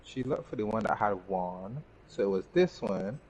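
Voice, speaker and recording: A man's voice: on the low side, lively intonation, normal speed, a little mellow, low-quality recording. A man giving casual gameplay commentary, chatting to himself and to the game's characters. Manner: talks to himself and the game characters. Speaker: gaming streamer. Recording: band-limited mic with faint background noise.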